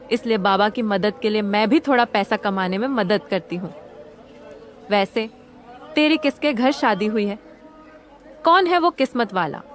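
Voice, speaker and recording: A woman's voice: a little high, lightly acted. A woman voicing a frank, gossiping young village friend in a cartoon story, with an exclaiming manner. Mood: friendly. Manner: exclaiming, warning.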